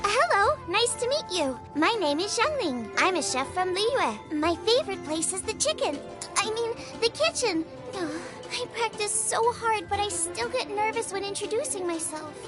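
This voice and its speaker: An eager teenage girl chef from a video game. Her voice is extremely high and bounces widely in pitch, chatty and cheerful, with music playing clearly behind her. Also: sing-song, normal speed. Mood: perky, eager. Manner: perky, chatty, enthusiastic. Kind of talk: video game character voice lines.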